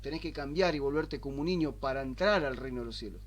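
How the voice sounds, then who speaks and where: An Argentine man preaching a sermon, asking rhetorical questions and slowing down to stress the scripture he quotes. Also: medium pitch. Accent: Argentine Spanish. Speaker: pastor. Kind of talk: sermon teaching.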